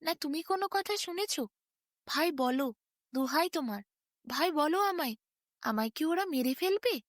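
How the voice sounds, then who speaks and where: Woman voicing a high-pitched, panicky pleading ox. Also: animated, moderate pace, no room sound, studio quality. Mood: frightened pleading. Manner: anxious, pleading questions. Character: frightened, pleading male ox, gullible and grateful.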